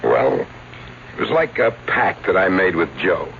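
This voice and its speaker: An actor playing a wry, regretful publicity agent confessing to a detective in an old-time radio drama. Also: male voice, low-pitched, big pitch swings, measured pace, creaky, heavily acted. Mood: wry, confessional. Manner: colloquial, dramatic. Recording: muffled vintage radio recording, faint hiss.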